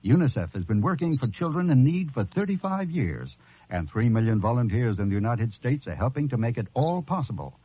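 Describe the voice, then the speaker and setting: A man's voice: mid-range voice, very animated, fairly quick, dark tone, slightly rough, dry recording. A man reading a sober, scripted public service announcement in an earnest, polished manner. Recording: dull, dry radio recording.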